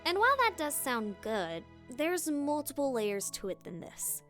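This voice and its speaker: Casting: female tutorial host, high-pitched, playful and theatrical, lively swings in intonation. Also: measured pace, over loud music. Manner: animated, theatrical emphasis. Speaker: voice actor and video host. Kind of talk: scripted, playful tutorial narration.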